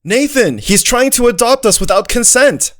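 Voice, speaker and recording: A man's voice: extremely high pitch, expressive, normal speed, dry recording, clean studio sound. A man voicing a brave, loyal little dog who narrates his own story in a simple, earnest way. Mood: defiant. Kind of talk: first-person scripted story narration. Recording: music behind the voice.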